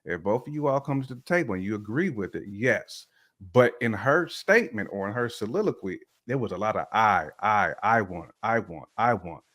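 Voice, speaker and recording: Male, low voice. Emphatic, opinionated casual talk, repeats words for punch. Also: lively intonation, normal speed, fairly bright tone. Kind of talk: casual conversational commentary.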